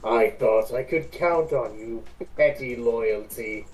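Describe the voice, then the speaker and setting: A woman voicing a murderous, scornful male fantasy villain in a slow, menacing, theatrical way for a fan-story reading. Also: very deep voice, a little mellow, pitched below the performer's own voice. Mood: menacing gloating. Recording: roomy echo, music behind.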